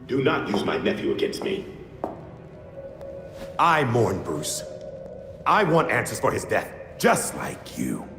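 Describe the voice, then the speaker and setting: An actor playing a menacing, cultured older leader of a secret society in a game cutscene, measured, condescending and coolly threatening. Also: male voice, fairly low pitch, wildly swooping pitch, over music, heavily acted. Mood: cold, grave. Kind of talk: dramatic video game cutscene acting. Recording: echoey hall with long reverb.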